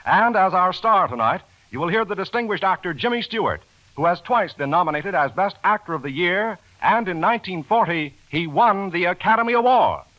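A man's voice: high-pitched, animated, a little fast. A man announcing a 1940s radio program in a formal, grand, scripted delivery. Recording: dull old 1940s radio broadcast. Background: orchestral music.

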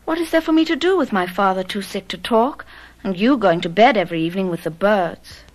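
A woman's voice: mid-range voice, animated, brisk, close to an everyday voice, rough recording. An actress playing a sheltered, willful and lonely teenage girl in a radio drama. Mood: pleading.

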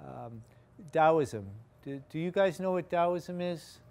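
A man's voice: fairly high pitch, slow pace, vocal fry, darkish tone. An older man with a Boston accent, giving a casual guest lecture in a large hall and telling his story in a rambling way, full of restarts and fillers. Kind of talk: informal campus lecture.

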